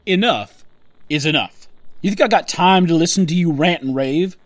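An actor playing an irritable, smug corporate boss on the run in an audio drama, blustering and condescending. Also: male voice, a little high, normal speed, dry recording, close to an everyday voice. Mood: irritated.

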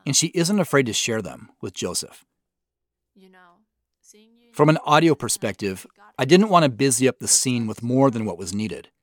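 A man's voice: mid-range voice, big pitch swings, normal speed, pauses often, no echo. A man who creates audio drama, giving a reflective, explanatory director's commentary.

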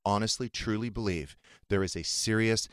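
A man's voice: fairly low pitch, moderate pace, clean tone, dry recording. A man hosting a talk show with casual studio banter, telling gossipy stories in a sardonic manner. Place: dry radio studio.

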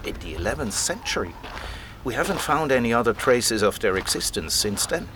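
Animated male scholar explaining old legends, played by an actor. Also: mid-range voice, fairly quick, background noise, natural voice. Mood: thoughtful. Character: male scholar Elias, keen patient explainer of medieval legends. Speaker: actor in an audio drama. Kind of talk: conversational audio drama dialogue.

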